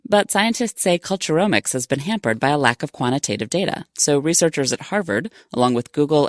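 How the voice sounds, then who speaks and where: A woman's voice: deep voice, no echo, studio quality. A woman reading scripted science narration as a narrator.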